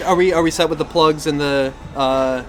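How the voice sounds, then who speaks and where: Male podcast co-host, slightly high voice, wry casual rambler, faint background noise. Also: steady tone, normal speed. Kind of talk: casual chat about games and movies.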